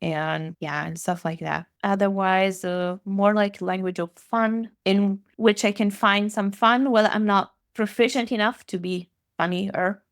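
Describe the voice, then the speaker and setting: A woman from Italy, a guest on a podcast, answering in a thoughtful, measured way with small hesitations. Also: medium pitch, steady tone, no room sound, studio quality. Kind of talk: conversational interview.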